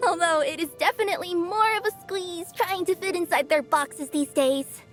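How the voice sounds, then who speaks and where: An actress voicing a cheerful young female cat-yokai courier for a video game, bubbly and bashful, quick to fluster. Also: very high voice, a bit bright, soft background music. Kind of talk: video game character voice lines.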